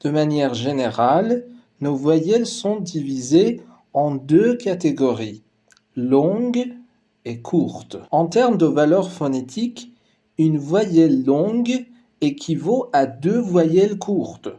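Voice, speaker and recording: A man whose first language is Persian, calmly narrating a scripted lesson as the teacher. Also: fairly high pitch, takes it slowly.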